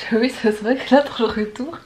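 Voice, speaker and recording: A chatty woman filming herself at home on a handheld camera, her voice thick and stuffy from a head cold. She rambles along casually and laughs often, with faint background noise. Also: a little high. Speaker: vlogger. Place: home, indoors.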